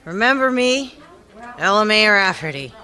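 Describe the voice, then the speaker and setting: A woman's voice: mid-range voice, lively intonation, moderate pace, sharp tone. A woman playing a pushy, indignant character out to protect her reputation, insistent, in a radio mystery. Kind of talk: radio mystery drama acting.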